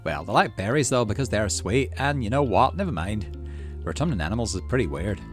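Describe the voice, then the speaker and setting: A man's voice: lowish voice, sing-song, brisk, faint music behind. A man voicing a cheerful, folksy farmer dad in a visual novel, chatting and explaining things to his child. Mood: enthusiastic. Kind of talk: visual novel character read aloud.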